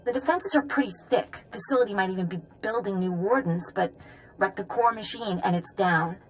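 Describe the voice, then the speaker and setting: A woman playing a practical tech specialist in a game cutscene, brisk, clipped and matter-of-fact. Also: medium pitch, slight background noise, natural voice, low-quality recording. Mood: businesslike urgency.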